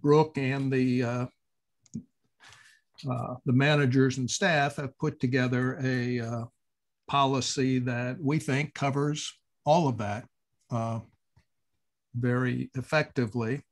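A man leading a board committee item on a video call, speaking haltingly with many pauses. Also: mid-range voice, steady tone. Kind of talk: meeting discussion.

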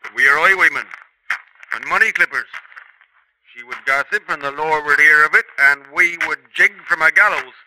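An actor playing a blunt, scheming highwayman son in a 1950s radio drama. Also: moderate pace, pushed and bright, low-quality recording. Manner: blunt, scornful.

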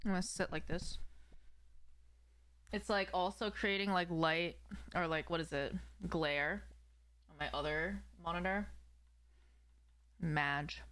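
A woman's voice: lowish voice, fairly even intonation, takes it slowly, long pauses, a bit bright, smooth. A young woman chatting casually and slangily as a live streamer, a non-native English speaker, close on a desk mic at home. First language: not English. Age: young adult. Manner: informal, slangy. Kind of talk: casual live-stream chat, reading and answering viewers. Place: small indoor room at home. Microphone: desk microphone on an arm, close.

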